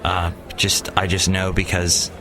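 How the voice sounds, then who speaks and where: A man's voice: deep voice, a little slow, a crowd in the background, noisy background. A man hosting a podcast, chatting casually and joking playfully with his co-host. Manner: playful, jokey, lively. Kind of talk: casual chat.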